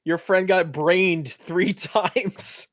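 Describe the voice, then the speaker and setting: A man's voice: high-pitched, fairly even intonation, drawn-out words. A man narrating a tabletop game as game master and podcast host.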